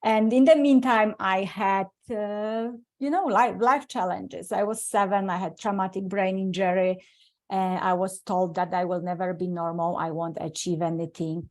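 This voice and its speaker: A woman's voice: mid-range voice, a little mellow. Polish-accented woman telling her story. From Poland. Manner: earnest, with frequent restarts and non-native grammar. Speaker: guest speaker. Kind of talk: personal storytelling in an interview.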